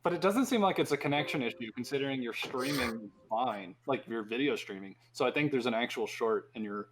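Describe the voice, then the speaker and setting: Medium-pitched man explaining over online call. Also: lively intonation, a little fast, a crowd in the background, low-quality recording. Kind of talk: casual troubleshooting chat. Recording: online call with faint noise, clicks.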